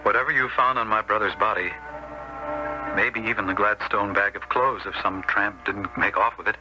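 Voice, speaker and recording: Actor as a low-voiced, guilt-stricken grieving brother. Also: male voice, fairly even intonation, normal speed, music in the background, close to an everyday voice, rough recording. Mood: grave confession. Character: guilt-stricken man mourning his brother, grave and bitter. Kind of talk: radio drama acting.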